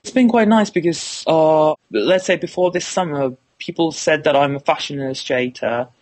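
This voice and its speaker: A man's voice: a little high, moderate pace, darkish tone. A young man in his twenties from Finland, speaking with a Finnish accent as a non-native speaker, giving hesitant interview answers full of restarts and fillers. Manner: hesitant, repeats words, many "kinda like" fillers. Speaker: illustration student, interviewee.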